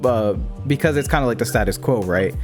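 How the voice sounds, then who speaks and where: A man leads a relaxed conversation in a halting way, restarting phrases and filling gaps with "like" and "uh." His pitch sits mid-range for a man. Clear music runs underneath. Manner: hesitant, repeats words, many "like" and "uh". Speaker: YouTuber host. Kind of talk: casual video-call conversation.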